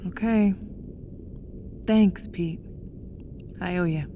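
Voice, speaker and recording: A woman's voice: fairly low pitch, drawn-out words, warm tone, slight background noise. A young woman playing a determined, caring corporate insider in a video game, earnest and reassuring. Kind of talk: video game cutscene acting.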